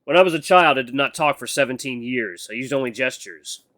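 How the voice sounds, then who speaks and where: A man's voice: mid-range voice, brisk, bright, forceful tone, natural voice. A man voicing a stern, socially awkward beast-man mentor who speaks in a stiff, formal, blunt way. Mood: defensive.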